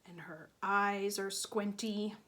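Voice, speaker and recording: A woman teacher reading a picture book to young children, explaining patiently and pausing to ask them questions. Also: mid-range voice, fairly even intonation, pushed and bright. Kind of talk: children's read-aloud with questions to listeners.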